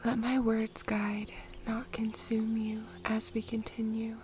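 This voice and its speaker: Woman, soft whispery narration, gentle guided meditation, slightly high pitch, very even intonation. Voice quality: breathy, whispery. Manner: soothing, gentle, measured. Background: music.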